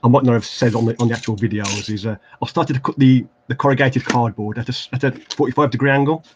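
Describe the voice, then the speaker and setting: A man's voice: medium pitch, steady tone, quick pace, slight background noise. A man with an English Midlands accent hosting a casual craft livestream, rambling and self-deprecating with lots of fillers and restarts as he works at his desk. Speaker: livestream host building tabletop terrain. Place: home craft desk, indoors.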